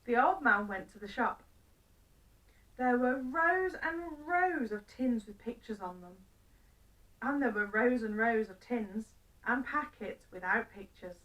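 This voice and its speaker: High-voiced woman reading a children's story. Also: fairly bright tone, far from the mic, dull audio. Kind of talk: read-aloud children's story narration.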